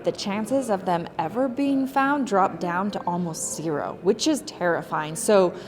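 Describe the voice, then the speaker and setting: A woman speaks at a public event with a mid-pitched voice and an earnest, emphatic delivery. She repeats words to stress her points. The long reverb of a hall trails her speech. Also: measured pace, crowd noise.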